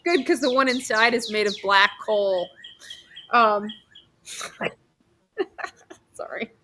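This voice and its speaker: A woman physician from New York state, hosting a casual livestream over a video call, chatty and rambling with quick bursts of excitement. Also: on the high side, a little hiss or hum. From New York state, USA.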